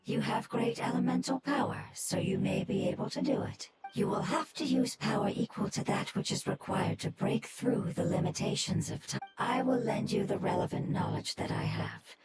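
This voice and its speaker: A woman voicing a solemn, earnest, ancient nature spirit in an anime game, breathy and formal in delivery. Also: medium pitch, moderate pace, hardly any pauses, natural voice. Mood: grave. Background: music.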